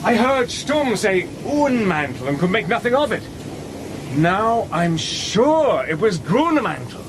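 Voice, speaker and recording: An actor playing a resourceful, determined spy-adventurer in a radio drama, brisk and wry, also narrating in the first person. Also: male voice, high-pitched, sing-song, heavily acted. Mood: excited. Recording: radio drama with noise behind.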